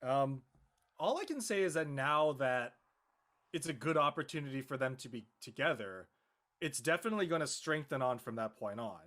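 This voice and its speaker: A man's voice: mid-range voice, animated, moderate pace, a bit bright. A man on a casual discussion panel, talking earnestly and emphatically in a rambling way. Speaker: actor guest on a web show panel. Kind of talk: casual chat.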